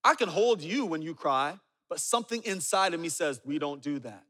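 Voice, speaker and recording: A man in his forties preaching a sermon, telling stories with humor and dropping low and quiet for asides. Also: lively intonation, moderate pace. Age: 40s. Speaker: pastor. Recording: reverberant hall.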